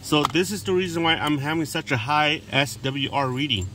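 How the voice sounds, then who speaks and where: A man giving a casual hands-on how-to, talking plainly in short, halting steps as he works. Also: on the high side. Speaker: DIY radio hobbyist demonstrator. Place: in and beside a parked vehicle outdoors. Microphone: handheld phone, one-handed filming.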